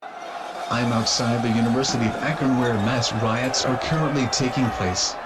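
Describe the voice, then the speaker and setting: A man's voice: medium pitch, fairly even intonation, moderate pace, natural voice. A man playing an anxious TV field reporter giving a dramatic live report from a riot. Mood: uneasy, urgent. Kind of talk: live breaking-news field report acting. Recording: very noisy, loud crowd and street din. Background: loud rioting crowd, outdoor city noise.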